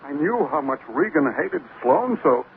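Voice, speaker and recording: A man's voice: fairly high pitch, sing-song, unhurried, vocal fry, narrow, tinny audio, heavily acted. An actor playing a weak-willed, nervous man in a murder plot, hesitant and pleading, in 1940s radio style on an old recording. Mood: anxious, confessing.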